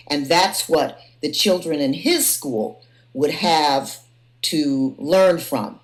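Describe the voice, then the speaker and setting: A woman's voice: fairly low pitch, low-quality recording. A woman hosting a panel conversation, feeling her way through sentences and repeating phrases for emphasis. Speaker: host and moderator of a panel discussion. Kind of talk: conversational moderating.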